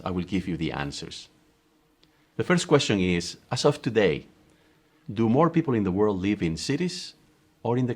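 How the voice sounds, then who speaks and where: A middle-aged professor from Spain lectures with a Spanish accent. His voice sits very low. He speaks in a measured, emphatic way and poses questions to his students. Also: creaky, slightly rough. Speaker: university professor. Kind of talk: recorded online course lecture.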